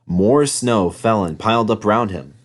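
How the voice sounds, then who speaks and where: A man's voice: lowish voice, a little slow. A man reading a children's story as scripted narration. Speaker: storybook reader.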